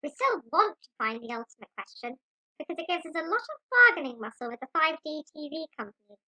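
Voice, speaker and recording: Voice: high-pitched, sing-song, fast talker, bright, forceful tone, dry recording, full character voice, low-quality recording. An actor voicing a pompous, jaded talking mouse in an amateur audio drama. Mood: scheming. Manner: pompous, exasperated.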